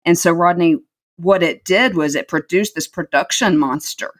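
A woman's voice: fairly low pitch, normal speed. A middle-aged woman telling her life story as an interview guest, earnest and reflective, repeating words for emphasis.

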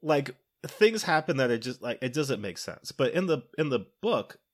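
A man's voice: mid-range voice, big pitch swings, normal speed. Chatty man hosting a film podcast. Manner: conversational, explains at length with many fillers. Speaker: podcast host. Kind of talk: casual film discussion.

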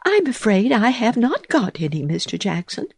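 A woman's voice: on the high side, sing-song, normal speed, vocal fry, darkish tone, husky, no room sound, clean studio sound, pitched above the performer's own voice, exaggerated voice. A woman doing a prim, fretful little mouse. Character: fussy, tidy little female wood mouse.

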